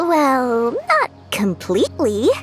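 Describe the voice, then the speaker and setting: A woman voicing a sly, money-loving, smooth-talking merchant in a video game, with a theatrical, persuasive delivery. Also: high voice, huge pitch swings, takes it slowly, full character voice. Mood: sly, businesslike. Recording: clean game dialogue over soft music.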